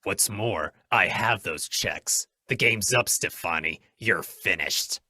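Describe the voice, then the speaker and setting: A man's voice: low-pitched, slow, sharp tone, full character voice. A man acting a stern, commanding masked vigilante in an old-time radio drama, speaking in firm, menacing declarations. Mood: cool menace. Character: masked male crime-fighting vigilante, stern, commanding, resolute.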